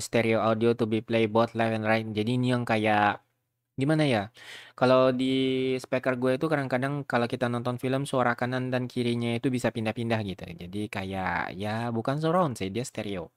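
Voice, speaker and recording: A man talks viewers through software in an informal, rambling way. His voice sits at a middling pitch. It is recorded close and dry, like a home tutorial voice-over. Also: fairly even intonation, moderate pace, clean tone, no echo. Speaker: video tutorial host.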